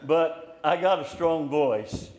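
An older man preaching an informal, encouraging sermon in a slow, plainspoken way. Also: a little high, a little mellow. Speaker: pastor. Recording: echoey room, hall reverb.

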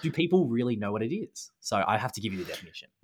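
A man's voice: on the low side, very animated, very fast, slight background noise. A man, co-hosting a casual science podcast in a chatty, enthusiastic way. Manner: chatty and enthusiastic, calls his partner mate. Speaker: podcast co-host.

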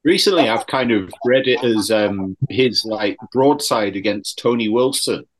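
A man's voice: fairly low pitch, unhurried, low-quality recording. A man in a casual podcast discussion, talking tentatively and often saying "like" and "you know". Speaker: podcast panelist. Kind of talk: casual discussion of song lyrics.

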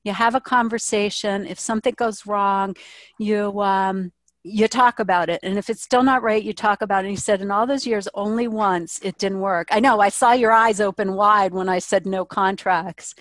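A woman's voice: mid-range voice, steady tone, normal speed, dry recording. Woman host with a dry, close sound. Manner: asks questions, recounts guest stories. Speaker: podcast host. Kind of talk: interviewer chat.

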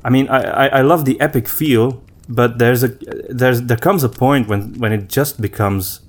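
A man's voice: medium pitch, moderate pace. A man with a mid-range voice speaks as a podcast co-host in casual conversation. He is reflective and a little hesitant, often rewording his thought as he goes. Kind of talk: casual book discussion.

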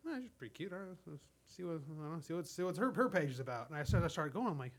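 A man's voice: a little high, big pitch swings, very fast, slightly rough. A man hosting a crude comedy podcast, chatting casually in a rambling, repetitive way and swearing freely. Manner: rambling, repeats himself, swears. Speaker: podcast host.

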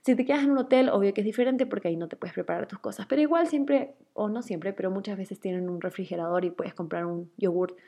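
A woman's voice: medium pitch, fast, hardly any pauses, warm tone. A young woman with a Guayaquil accent, chatting casually as a host and answering listener questions, with frequent fillers and laughs. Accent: Ecuadorian, Guayaquil. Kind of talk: casual chat.

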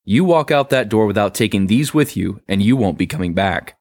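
A man's voice: on the low side, lively intonation, fairly quick, a little mellow, no room sound, clean studio sound. A man voicing a bossy, mean male building manager in a read-aloud story, speaking curtly and with threats. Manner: curt, threatening.

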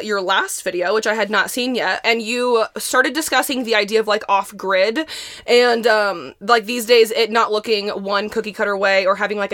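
A woman's voice: medium pitch, fairly bright tone, dry recording, studio quality. A woman hosting a podcast in a casual, chatty way, full of "like" fillers. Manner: chatty, filler-heavy with frequent "like". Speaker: podcast host. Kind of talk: casual interview chat.

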